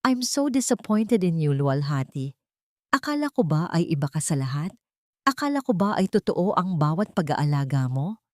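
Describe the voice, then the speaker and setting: A woman's voice: low-pitched, sing-song, normal speed, a little mellow, no echo, clean studio sound. Woman voicing a cold, disappointed rich man. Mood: disappointed anger. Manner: stern, cutting, switches between Tagalog and English.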